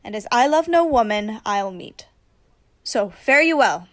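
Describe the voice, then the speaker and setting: A woman acting a commanding heroine disguised as a young shepherd in a Shakespearean radio play, speaking in measured, emphatic declamation. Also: on the high side, animated. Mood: commanding, assured.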